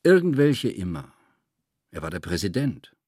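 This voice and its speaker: A man's voice: deep voice, big pitch swings, normal speed, a little mellow, breathy edge, dry recording, clean studio sound, heavily acted. An actor playing a loyal, weary male presidential aide who gives terse, matter-of-fact answers in a scripted radio play interview. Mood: sober, matter-of-fact.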